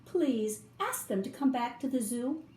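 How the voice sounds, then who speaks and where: A woman's voice: on the high side, expressive, unhurried, a little mellow, pitched above the performer's own voice. A woman voicing a flustered storybook zookeeper, urgent and emphatic. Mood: pleading. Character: flustered male zookeeper, pleading and bargaining. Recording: roomy echo, faint background noise.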